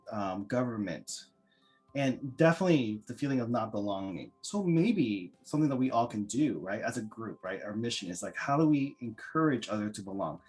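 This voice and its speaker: A man with a slightly husky, breathy voice leads a group discussion, speaking with eager encouragement and often repeating words. Faint music plays quietly behind him. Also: mid-range voice. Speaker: orientation facilitator.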